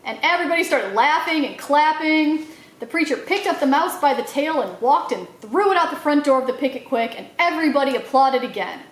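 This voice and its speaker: A woman reading a children's novel aloud in an expressive storytelling style, acting out the dialogue as she goes. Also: high-pitched, a little fast, reverberant, low-quality recording. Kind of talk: animated read-aloud narration for children.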